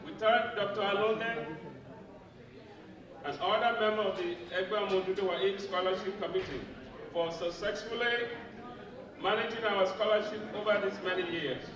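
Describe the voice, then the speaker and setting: High-voiced man, formal gala address, roomy distant hall with crowd. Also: steady tone. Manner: formal, measured, ceremonious thanks. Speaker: association president. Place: large event clubhouse hall. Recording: echoey hall from far off, crowd. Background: audience crowd.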